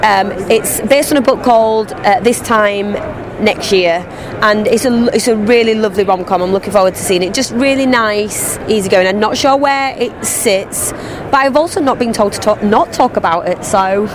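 A woman's voice: medium pitch, normal speed, crowd noise. A woman in her thirties with an English regional accent, chatting enthusiastically as a guest in a casual convention interview, often restarting her sentences. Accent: English regional, not London. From England. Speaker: guest actress. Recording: clear traffic noise outdoors.

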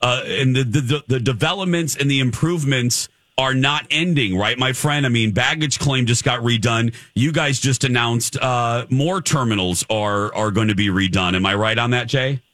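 A man's voice: medium pitch, moderate pace, few pauses, a bit bright, no room sound, clean studio sound. A man hosting a radio show, chatting in a chummy, enthusiastic way with a guest. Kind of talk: upbeat radio interview and chat.

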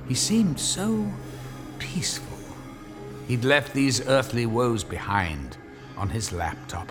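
A man narrating a scripted drama in a wry, theatrical storytelling manner. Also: fairly low pitch.

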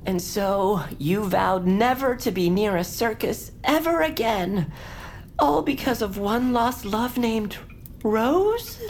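A woman's voice: fairly high pitch, expressive, slow, darkish tone, slight background noise. A woman playing an earnest, upbeat boy in an audio drama, speaking with dramatic resolve. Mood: disappointed. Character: earnest, upbeat boy determined to cheer up town.

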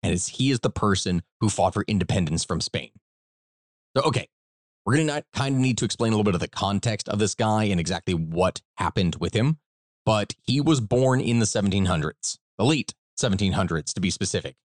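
A man's voice: fairly low pitch, lively intonation, brisk, no room sound, clean studio sound. A man with an American accent and a slight Kentucky twang, telling history as a podcast host in a rambling, emphatic, informal way. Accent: American, slight Kentucky country twang. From Kentucky, United States. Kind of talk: conversational history storytelling.

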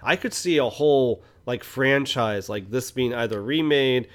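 A man's voice: medium pitch, measured pace. Man chatting casually about films, opinionated. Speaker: podcast co-host. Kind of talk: casual film review chat.

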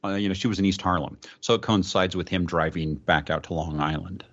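A man's voice: deep voice, fairly even intonation, fairly quick, fairly bright tone. A man co-hosting a podcast, chiming in casually with added details. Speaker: podcast co-host. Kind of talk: casual chat. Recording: dull lo-fi recording.

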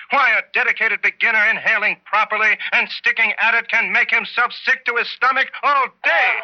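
A man playing a bumbling smoking teacher. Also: high voice. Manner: mock-earnest lecturing, coughing and chuckling. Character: bumbling male smoking teacher lecturing a class. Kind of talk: 1970s radio ad acting. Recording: dull lo-fi old radio recording.

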